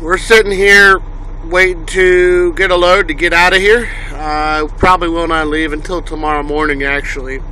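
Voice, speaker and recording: A man's voice: a little high, level tone, normal speed, sharp tone, low-quality recording. A young man from Illinois, a truck driver, giving a rambling casual vlog tour with a nasal, stuffy voice from a lingering cough, filmed on a handheld phone. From Illinois, USA. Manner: rambling, many uhs and ums. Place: parked truck cab, then outdoors in wind. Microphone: handheld phone camera. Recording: faint engine and wind noise.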